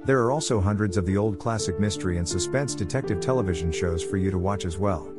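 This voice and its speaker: A low male voice over prominent music. He reads a scripted podcast introduction as the host. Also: fast talker.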